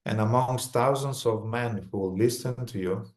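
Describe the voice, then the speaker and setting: A man with a Romanian accent giving a religious lecture in short phrases, pausing for an interpreter. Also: mid-range voice.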